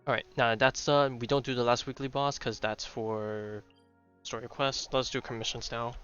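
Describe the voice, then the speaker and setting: A man's voice: medium pitch, steady tone, normal speed, a bit bright, clear, resonant tone. A man streaming a video game, chatting casually and thinking aloud in a rambling way, often repeating his words. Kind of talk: casual livestream gaming chat. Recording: dull lo-fi mic, game music behind. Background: video game music.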